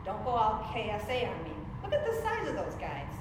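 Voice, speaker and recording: A woman's voice: medium pitch, huge pitch swings, a little fast. A woman author voicing a crude, grumbling little man who drives a bus, in a comic novel reading. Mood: wary warning. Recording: very echoey hall, loud background noise.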